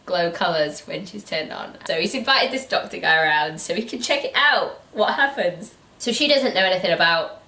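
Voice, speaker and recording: A woman hosting a casual book review, chatting in an animated, incredulous and informal way. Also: on the low side, normal speed. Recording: roomy, echoey room sound.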